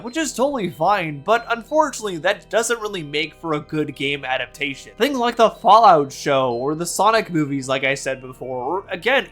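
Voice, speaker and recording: A man's voice: high-pitched, a bit bright. A man delivering a scripted movie-review video essay with casual asides, in an opinionated, wry and sometimes sarcastic manner.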